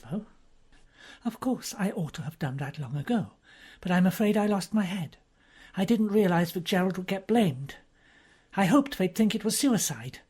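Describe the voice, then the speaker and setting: A woman's voice: medium pitch, a little mellow, husky, pitched above the performer's own voice. A woman voicing a distressed young aristocratic woman who speaks in a faltering, pleading way.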